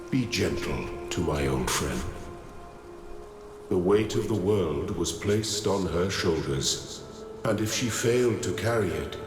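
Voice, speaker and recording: Deep, solemn fatherly elder. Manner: grave, measured. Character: solemn, compassionate fatherly ancient being, gentle elder. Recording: roomy echo.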